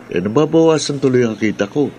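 A man's voice: mid-range voice, lively intonation, fast talker, dark tone, slight background noise, lightly acted. An actor with a Filipino accent playing a young man, a grumbling buddy who works as an online creator, in a radio drama. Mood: troubled. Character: aggrieved male buddy, a struggling online content creator.

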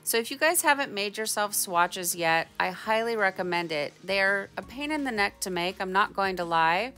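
A woman's voice: medium pitch, animated, normal speed, bright, forceful tone, soft background music. A woman giving a chatty, informal instructional voice-over as a craft tutorial host. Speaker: tie-dye tutorial host.

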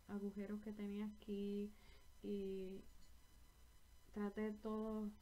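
A woman's voice: mid-range voice, completely flat delivery, slow, warm tone, rough recording. A young woman with a Puerto Rican accent chatting to camera from a small bedroom about her knitting, rambling and correcting herself as she goes. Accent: Puerto Rican Spanish. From Puerto Rico. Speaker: knitting vlogger. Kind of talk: casual chat to camera.

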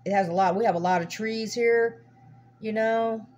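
A woman's voice: on the high side, steady tone, moderate pace, a little mellow, dull audio. Folksy lady rambling on a home video, repeats herself, bit of hum in the background. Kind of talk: casual chat to viewers. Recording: humming noisy amateur recording.

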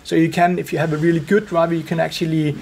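A man in his forties giving measured technical explanations as an expert in an interview. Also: a little high, little pitch movement, vocal fry, darkish tone, a little hiss or hum. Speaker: expert guest, loudspeaker driver engineer.

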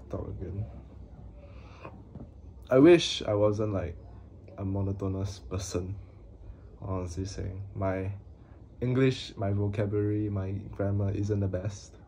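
A young man speaks to his camera in a small room, with a low, mellow voice that stays flat and monotone. He talks haltingly, with many fillers and long pauses. Faint room ambience sits behind him. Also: slight background noise. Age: young adult. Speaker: vlogger. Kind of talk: casual talk to camera.

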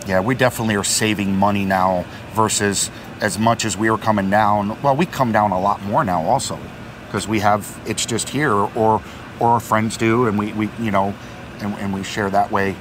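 A man's voice: fairly low pitch, fairly even intonation, normal speed. A middle-aged man co-hosting a vlog, talking casually at a marina dock, repeating words and using many fillers. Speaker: vlog co-host. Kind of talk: casual explanatory chat. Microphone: fixed camera at a few meters. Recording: outdoor noise behind.

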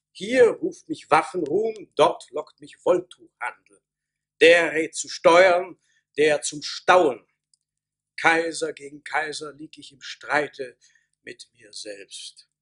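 An actor playing an indecisive, solemn emperor in a radio play, with a ponderous, declamatory way of talking. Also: male voice, on the high side, fairly bright tone, full character voice. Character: dithering, solemn emperor torn between his advisers.

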